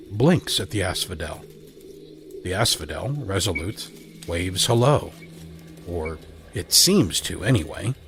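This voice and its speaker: A man with a somewhat low voice narrates a scripted audio drama. He tells the story in a measured, dramatic way.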